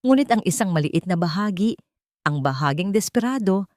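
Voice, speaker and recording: A woman's voice: mid-range voice, expressive, fairly quick, darkish tone, dry recording, clean studio sound. A woman giving a dramatic, scripted narration of a story.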